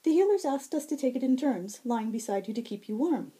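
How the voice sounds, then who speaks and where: A woman's voice: a little high, animated, a little fast, warm tone, pitched above the performer's own voice, lightly acted. A woman voicing a playful, teasing male fox fairy herald with courtly, flowery phrasing and a silvery tone, in a fantasy story reading. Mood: cheerful, explaining.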